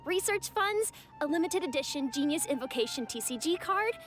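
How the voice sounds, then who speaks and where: A woman's voice: sits high, expressive, fairly quick, music in the background. A woman playing a confident festival organizer in an anime video game, proclaiming with formal ceremony. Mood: ceremonial, confident.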